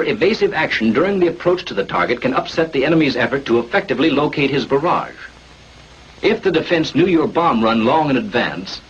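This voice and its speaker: A man's voice: a little high, a bit bright, breathy edge. An American man reading scripted 1940s military training film narration in an authoritative, instructional manner. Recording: muffled, noisy 1940s film soundtrack.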